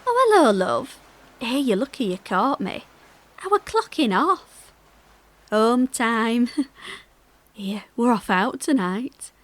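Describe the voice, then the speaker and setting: An actress playing a cheerful, gossipy young working-class millworker from Yorkshire, chatting confidingly in a monologue. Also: female voice, a little high, slow, pauses often, full character voice. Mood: chatty, cheerful. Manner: colloquial, confiding, animated. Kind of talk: dramatic monologue, chatty and conversational.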